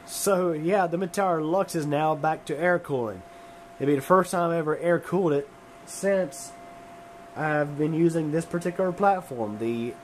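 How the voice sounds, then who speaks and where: Hoarse man chatting casually. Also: on the high side, slight background noise. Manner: rambling, many fillers and restarts, chuckling. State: hoarse from a cold. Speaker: computer hobbyist vlogger. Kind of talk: casual tech vlog. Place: home room warmed by a wood stove.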